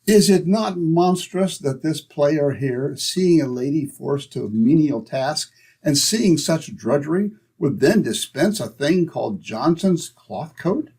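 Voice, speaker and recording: A pompous amateur Shakespearean in an old-time radio comedy. He declaims grandly and theatrically, his fairly high, husky voice swinging widely in pitch. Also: animated, measured pace, darkish tone, full character voice. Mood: grandly declaiming. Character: hammy, pompous neighbor and self-styled Shakespearean actor.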